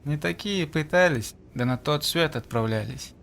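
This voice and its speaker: A man's voice: fairly high pitch, expressive, moderate pace, gravelly, fairly bright tone, slight background noise. Grim, discouraging man, voiced by an actor. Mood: grim warning. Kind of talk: animated film dialogue.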